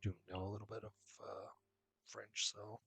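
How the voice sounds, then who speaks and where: A man's voice: on the low side, flat delivery, normal speed, gravelly, sharp tone, slightly rough. A man doing casual let's play commentary, reading game text aloud and muttering to himself in short fragments. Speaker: gameplay streamer. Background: game music.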